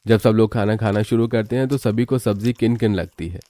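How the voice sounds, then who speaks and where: A man's voice: fairly low pitch, brisk, dark tone. A man narrating a scripted family cartoon story. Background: background music.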